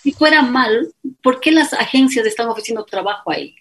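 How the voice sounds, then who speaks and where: A woman's voice: on the high side, fairly quick. A middle-aged woman with an Ecuadorian accent, earnestly telling her life story as an interview guest and acting out what others said to her. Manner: earnest, animated storytelling with quoted dialogue.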